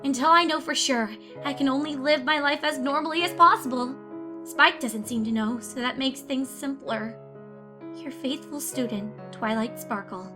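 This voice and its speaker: High, anguished young female pony voiced by a woman. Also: moderate pace, music in the background, lightly acted. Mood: nervous, composed. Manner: anguished, highly emotional, swinging from hushed to screaming. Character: guilt-ridden, paranoid young female pony student unraveling into madness. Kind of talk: dramatic reading of confessional letters with music.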